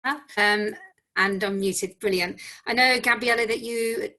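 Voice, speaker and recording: A woman's voice: medium pitch, fairly even intonation, a little slow, bright, forceful tone. A woman hosting an online meeting, thanking speakers and guiding them in a friendly, upbeat way. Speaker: meeting host. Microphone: video call.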